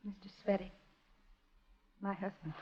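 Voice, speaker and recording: An actress playing an anxious, pleading wife in a 1950s radio drama. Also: female voice, mid-range voice, fairly even intonation, a little slow, breathy edge. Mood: strained, pleading. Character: anxious, pleading wife covering for herself, secretly communist. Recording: muffled vintage radio recording.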